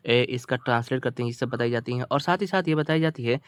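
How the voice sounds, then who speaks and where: A man's voice: mid-range voice, quick pace, clear, resonant tone, no echo. A man teaching through a story, reading phrases out and translating them word by word in a repetitive, explanatory manner. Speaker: teacher, channel host.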